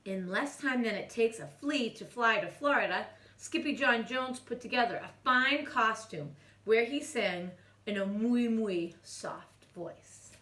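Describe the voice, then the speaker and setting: A woman reading a children's storybook aloud in an animated way, as if speaking to young children. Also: fairly high pitch, measured pace, distant mic. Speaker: children's story-time reader. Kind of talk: expressive storybook read-aloud. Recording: echoey room, away from mic.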